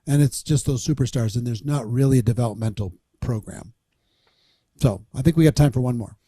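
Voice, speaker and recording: A man coaching on a webinar, blunt and encouraging, quick to reassure. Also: medium pitch, dark tone, breathy edge, no echo. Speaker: presentation coach. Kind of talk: casual webinar talk.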